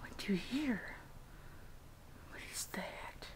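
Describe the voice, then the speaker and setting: A woman filming her pet dog, cooing to it in soft breathy whispers and high sing-song baby talk. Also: slow pace.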